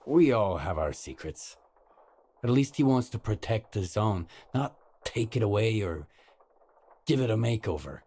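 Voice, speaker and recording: A pragmatic stalker hero in a game drama. His voice is lowish and husky, and he speaks with composed understatement. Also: lively intonation, measured pace, warm tone. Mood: wry. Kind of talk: dramatic video game dialogue.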